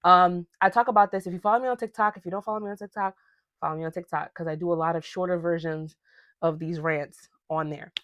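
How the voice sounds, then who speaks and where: A woman's voice: fairly low pitch, fairly even intonation, fast talker, a bit bright, dry recording, clean studio sound. A young woman from Ghana hosting her own podcast, talking casually and animatedly, with slangy asides. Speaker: podcast host. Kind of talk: casual solo podcast rant.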